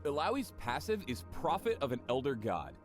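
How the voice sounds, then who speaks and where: Man, animated scripted narration, game explainer, playful phrasing, clear music bed. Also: mid-range voice, normal speed. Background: prominent music.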